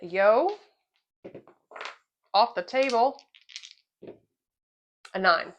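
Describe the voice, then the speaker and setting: A woman's voice: on the high side, lively intonation, extremely slow, slight background noise. A woman hosting a craps channel, calling each roll and explaining a betting strategy, her voice a little off from a cold. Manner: casual, explanatory, calls each dice roll. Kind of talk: play-by-play commentary while testing a betting strategy.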